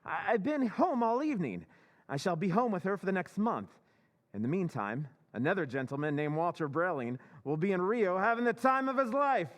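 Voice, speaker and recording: An actor playing a smug, self-assured scheming husband in an old-time radio drama recreation. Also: male voice, sits high, very animated, brisk, big-room sound.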